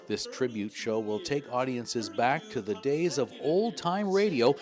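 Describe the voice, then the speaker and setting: A man's voice: mid-range voice, lively intonation, unhurried. A man narrating a scripted local television news feature as a reporter.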